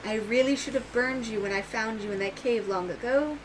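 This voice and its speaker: A woman's voice: on the high side, brisk, a little hiss or hum, natural voice, rough recording. A woman doing a courtly, earnest male knight. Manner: courtly, old-fashioned speech.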